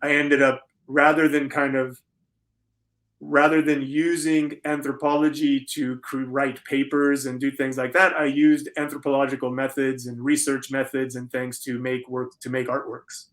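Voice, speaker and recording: A man's voice: medium pitch, little pitch movement, a bit bright. Middle-aged American male interview guest, mid-range voice, conversational and considered, often circling back to reword. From United States, Florida. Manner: halting, thoughtful, repeats and rephrases. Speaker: interview guest, conceptual artist.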